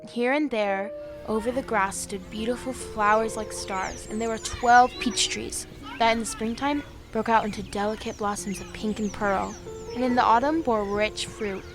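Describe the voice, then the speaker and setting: An actress playing a gentle young woman who reads a fairy tale aloud in an audio drama, measured and earnest. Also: on the high side, fairly even intonation, close to an everyday voice. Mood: earnest storytelling. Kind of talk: storybook narration in an audio drama. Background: music.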